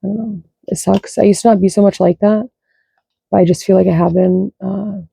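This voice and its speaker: Low-voiced woman with a gravelly edge. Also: fairly even intonation, a little fast, mellow, no room sound. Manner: loose, profane, thinks aloud. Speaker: card reader. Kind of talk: casual rambling spiritual chat.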